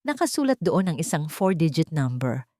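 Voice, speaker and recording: Female narrator for serialized love story: fairly low voice, expressive, animated intonation, scripted dramatic delivery. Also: moderate pace, no room sound, studio quality.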